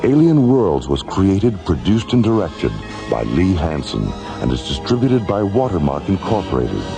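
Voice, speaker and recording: A man narrating a radio drama as host, with a solemn, measured, formal announcer delivery. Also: low-pitched, big pitch swings. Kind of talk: scripted dramatic narration and credits. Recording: music behind the voice.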